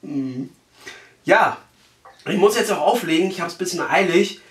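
A young man with a fairly high voice in an echoey room. He talks casually and colloquially, brash and crude, as if making an earnest phone enquiry. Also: expressive, moderate pace, a bit bright, slight background noise. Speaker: prank call host. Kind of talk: casual prank phone call. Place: small living room, indoors.

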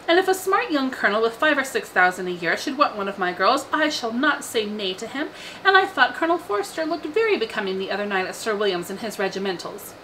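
A woman voicing an excitable, boastful middle-aged mother in an audiobook, gushing and insistent. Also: mid-range voice, a little hiss or hum, rough recording. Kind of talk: audiobook character voice.

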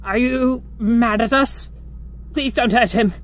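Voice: medium pitch, slow pace, fairly bright tone. A performer voicing a timid young male skeleton, hesitant and fearful, in a dramatic comic dub. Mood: fearful pleading. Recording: faint background noise.

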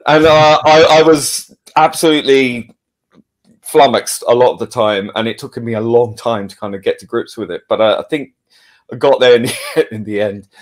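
A man chats casually as an interview guest. He speaks haltingly and with some self-deprecation, in a middle-pitched voice, chuckling as he goes. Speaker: audio drama producer and director, podcast guest.

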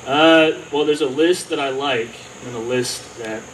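A man's voice: mid-range voice, measured pace. A man answering questions in a modest, hesitant way, trailing off between thoughts. He is picked up from a distance on an outdoor stage, with a faint hum around him. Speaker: songwriter contestant. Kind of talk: onstage interview.

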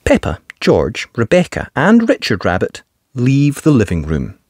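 A woman narrates a children's picture book as a storyteller. Her pitch swoops widely and expressively, and she takes her time. The sound is dry, with no room around it. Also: huge pitch swings, measured pace, darkish tone, breathy edge, no echo. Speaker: storyteller, channel host.

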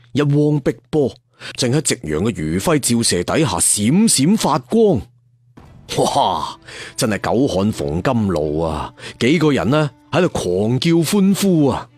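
A man's voice: medium pitch, darkish tone, dry recording. A man telling a serial story in a theatrical, colloquial traditional storyteller style. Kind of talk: dramatic serial storytelling narration.

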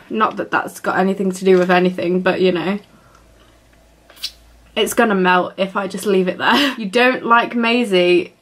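A woman's voice: medium pitch, fairly bright tone. A young woman, chatting casually to camera in her bedroom, giggling and rambling with lots of fillers. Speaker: vlogger. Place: small bedroom indoors.

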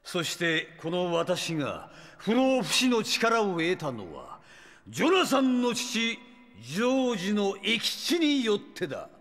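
High, gloating immortal vampire villain. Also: male voice, huge pitch swings, a bit bright, full character voice. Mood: scornful. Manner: theatrical, sneering, drawn-out words, maniacal. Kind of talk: anime audio drama acting. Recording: long reverb.

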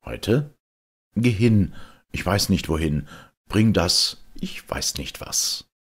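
Male storybook narrator, deep and very husky, expressive theatrical delivery. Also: takes it slowly. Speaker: audiobook reader. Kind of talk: storybook fairy-tale narration.

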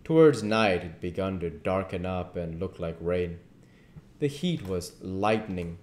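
Low-voiced man reading aloud, echoey room. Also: expressive, unhurried, darkish tone, slight background noise. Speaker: amateur audiobook reader. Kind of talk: reading a classic novel aloud. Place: living room at home, indoors. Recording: echoey home room recording.

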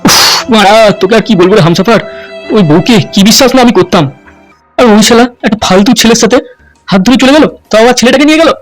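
Vengeful cartoon crime boss acted by a man: high male voice, very rapid, bossy and foul-mouthed. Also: soft background music, low-quality recording. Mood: brooding, aggrieved. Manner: blustering, bossy, coarse. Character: vengeful, swearing male gang boss and politician. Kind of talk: Bengali comedy cartoon voice acting.

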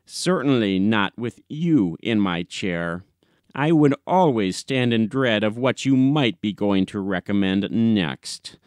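A man's voice: fairly low pitch, animated, slow pace, darkish tone, no echo, clean studio sound, pitched below the performer's own voice. A man reading an audiobook, playing an indignant, exasperated chief editor who scolds in a lofty, emphatic way. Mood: exasperated, despairing. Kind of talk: dramatic audiobook reading of classic comic short story.

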